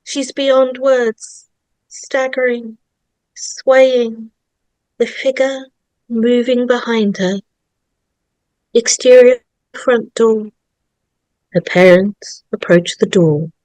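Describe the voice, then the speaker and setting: Lady reading horror screenplay stage directions, slow and dramatic, no room echo. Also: on the high side, fairly even intonation, a little mellow. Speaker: narrator.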